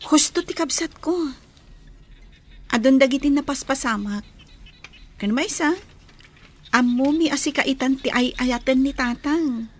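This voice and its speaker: An actress with an Ilocano Filipino accent playing a worried grown daughter in a radio drama. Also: female voice, high voice, unhurried, pauses often. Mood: worried, insistent.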